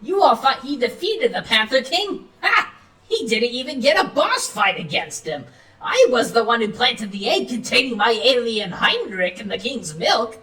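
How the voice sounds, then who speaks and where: Crazy evil professor guy done by a woman, high voice, gloating, grandiose story villain. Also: big pitch swings, normal speed, bright, forceful tone, roomy sound, recorded from a distance, low-quality recording. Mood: gloating, manic. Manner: grandiose, taunting declarations.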